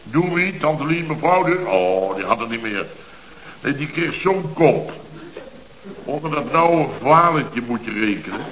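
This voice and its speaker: An actor playing a blustering, self-pitying middle-aged building contractor in a Dutch radio comedy, talking in exasperated, halting bursts. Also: male voice, mid-range voice, lively intonation. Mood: indignant. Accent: Dutch from the Netherlands. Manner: forceful, halting, exasperated storytelling in short bursts. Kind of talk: 1970s radio comedy acting. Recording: muffled old radio recording.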